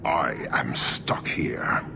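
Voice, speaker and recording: An actor playing a huge, stern, contemptuous alien military commander, measured and imperious, in a video game cutscene. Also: male voice, noisy background. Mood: grave, scornful. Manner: measured, imperious delivery that swells into thunderous anger.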